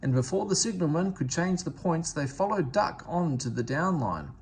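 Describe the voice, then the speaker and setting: A man reading a children's story aloud as expressive scripted narration. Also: a little high, normal speed, slight background noise. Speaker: storybook reader.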